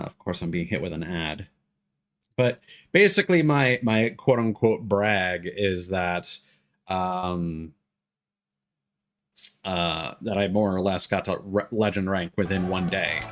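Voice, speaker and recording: A man chatting casually as he commentates a video game stream, rambling and often stopping to restart his sentences. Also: on the low side. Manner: rambling, self-interrupting, frequent stops and restarts. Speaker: live streamer.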